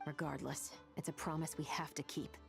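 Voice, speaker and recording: A stern patrol captain speaks in a low, steady voice, firm and matter-of-fact. Game music runs behind her. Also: a little fast, creaky.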